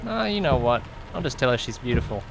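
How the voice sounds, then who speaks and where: A man's voice: very animated, quick pace, creaky. A man playing an awkward, easily flustered young driver who narrates an audio drama in the first person, musing conversationally to himself. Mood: musing, uneasy. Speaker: narrator and lead character. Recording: noisy, rain and car rumble behind. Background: rain and vehicle sounds.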